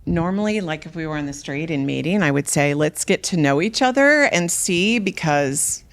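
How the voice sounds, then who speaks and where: A woman's voice: deep voice, lively intonation, normal speed. An older woman speaking candidly and casually as a dating show contestant, considerate and a little hesitant.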